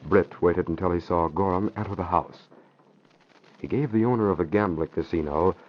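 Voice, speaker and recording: Deep guy narrating old radio drama, scripted, muffled and a bit hissy. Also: normal speed. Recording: dull, noisy old radio recording.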